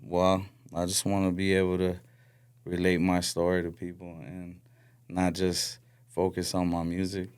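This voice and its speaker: A young man from Florida talks in a deep, resonant voice. He chats as a podcast guest in a soft, hesitant way, with plenty of fillers. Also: completely flat delivery, normal speed, clear, resonant tone. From Florida, raised in Arizona. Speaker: guest musician. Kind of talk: casual podcast chat.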